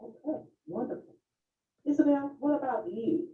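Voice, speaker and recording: A woman of fifty-five moderating a panel in a formal, deliberate and courteous way. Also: high-pitched, lively intonation. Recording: distant, roomy, dull lo-fi video sound.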